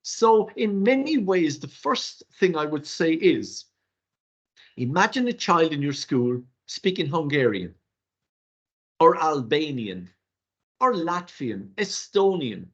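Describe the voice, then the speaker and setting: An older Irish man whose first language is Irish Gaelic gives a webinar workshop in an animated, rhetorical way, with theatrical asides, heard over a video call. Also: on the high side, breathy edge. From Ireland. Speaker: workshop presenter. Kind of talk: webinar lecture. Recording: dull lo-fi webinar audio.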